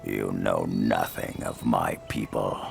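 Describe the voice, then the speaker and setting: Game rebel leader: proud, vengeful monster warrior, very heavy gravel, unhurried and terse, loud score underneath. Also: male voice, fairly even intonation, soft background music, heavily acted. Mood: grim, measured. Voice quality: deep gravelly growl. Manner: deliberate, terse, commanding. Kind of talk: dramatic video game acting.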